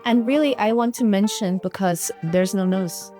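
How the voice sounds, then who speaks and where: A woman asking questions in an on-location interview inside an apartment, quick and enthusiastic. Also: mid-range voice, dark tone, faint music behind. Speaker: research associate, interviewer. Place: indoors in an apartment.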